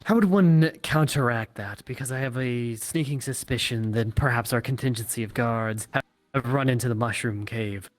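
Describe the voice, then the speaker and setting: A man's voice: medium pitch, normal speed, no echo, soft background music, natural voice. A man playing an inquisitive, practical townsman in a fantasy roleplay, politely skeptical and full of questions. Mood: probing. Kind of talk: in-character virtual reality roleplay.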